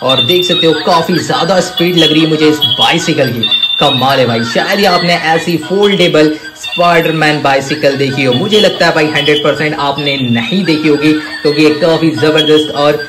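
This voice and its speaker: A man with an Indian accent presenting products to viewers in an excitable, gushing way, piling up superlatives. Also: a little high, normal speed, music in the background, low-quality recording. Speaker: toy reviewer and presenter. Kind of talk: enthusiastic product showcase to viewers.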